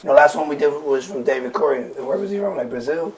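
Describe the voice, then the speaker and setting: A man with a worn, raspy voice chatting casually and talkatively in slang as a podcast host. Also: fairly high pitch, lively intonation, fast, a loud crowd. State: voice worn from smoking and studio work. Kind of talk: casual chat.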